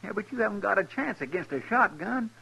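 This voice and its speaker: Earnest, folksy deputy. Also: male voice, high-pitched, lively intonation, a little fast, creaky, sharp tone, breathy edge, full character voice, poor audio. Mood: worried, pleading. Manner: hesitant, deferential, folksy. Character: earnest, anxious, loyal deputy to a frontier marshal. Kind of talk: 1950s radio western acting.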